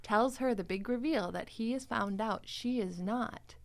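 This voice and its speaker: A woman hosting a podcast in a casual, chatty way, playful and quick to laugh. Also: a little high. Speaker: podcast host and series creator.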